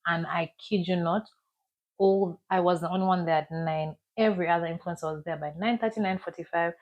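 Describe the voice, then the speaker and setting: A Ugandan woman with a Ugandan accent, a podcast guest who tells stories in an animated, candid and playful way. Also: lowish voice, brisk. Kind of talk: casual storytelling in an interview.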